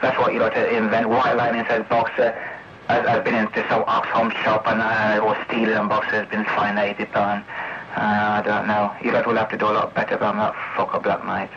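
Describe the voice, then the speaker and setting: A man's voice: fairly low pitch, monotone, brisk, a loud crowd. A man delivering a rambling, confrontational, sweary monologue over CB radio, repeating accusations and throwing out challenging tag questions. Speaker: CB radio operator. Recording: noisy, muffled CB radio tape recording.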